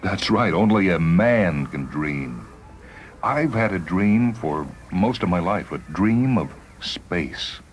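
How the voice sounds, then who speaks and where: A man's voice: deep voice, animated, takes it slowly. A man playing a dying spaceman who reflects wistfully and earnestly, with a hesitant dramatic delivery, in a dramatized short story. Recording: noisy vintage radio drama recording.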